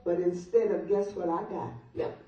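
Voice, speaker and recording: A woman's voice: fairly low pitch, steady tone, normal speed, dark tone. An older woman reading a humorous letter aloud to guests at a party. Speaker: guest reader at a birthday party. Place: large echoey room at a birthday party. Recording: muffled, very echoey room, distant mic, noise.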